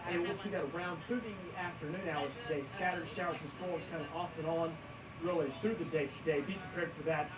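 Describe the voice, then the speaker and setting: A man's voice: a little high, steady tone, brisk. A man giving an ad-libbed TV weather forecast in loose, fragmentary phrases. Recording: echoey distant monitor, chatter over it.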